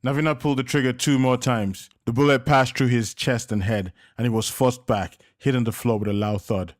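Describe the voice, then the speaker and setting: A man narrating a crime storybook in a dramatic style, dropping low on tense moments. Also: fairly even intonation, brisk, no room sound, clean studio sound. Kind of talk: scripted audiobook narration.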